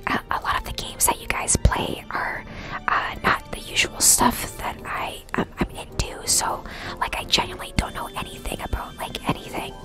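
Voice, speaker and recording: A woman's voice: very high voice, completely flat delivery, a little slow. A woman whispering breathily and chattily to viewers on a casual ASMR gaming live stream. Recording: music behind the whispering. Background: clear background music.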